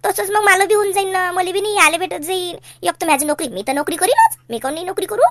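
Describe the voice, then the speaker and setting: Woman voicing a high, whiny Varhadi Marathi daughter. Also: quick pace, fairly bright tone. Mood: stubborn, whining. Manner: whiny, insistent, argumentative. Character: pleading, stubborn educated young unmarried daughter. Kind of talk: cartoon comedy dialogue.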